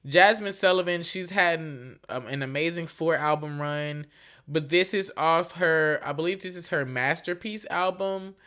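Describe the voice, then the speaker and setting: A woman's voice: sits low, a little slow, a bit bright. A young woman in her twenties hosts her own podcast alone, chatting loosely and frankly with plenty of slang and cursing. She rambles, restarts her sentences, and keeps checking in with the listener. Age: 20s. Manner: slangy, frank and profane, with frequent "you feel me" asides. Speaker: podcast host. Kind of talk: casual solo podcast chat.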